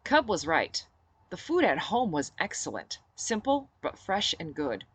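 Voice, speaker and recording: A woman reading her own novel aloud as expressive first-person narration. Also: fairly low pitch, unhurried, breathy edge, no echo. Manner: dramatic reader who shifts into character for dialogue.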